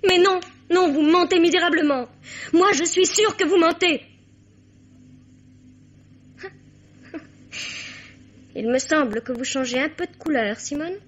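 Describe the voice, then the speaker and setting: Radio drama: wounded, indignant teenage girl, high-pitched, impassioned, old muffled recording. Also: normal speed. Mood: indignant, accusing. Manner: accusing, impassioned, formal address. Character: indignant, wounded teenage girl confronting her father's mistress. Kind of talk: 1950s French radio mystery acting. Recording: dull, lo-fi vintage radio sound.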